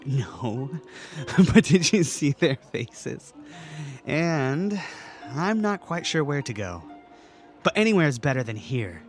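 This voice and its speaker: A man's voice: mid-range voice, sing-song, slow pace, faint music behind. A man playing a modest, playful young knight with a casual, hesitant delivery in a fan audio drama. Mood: playful, bashful.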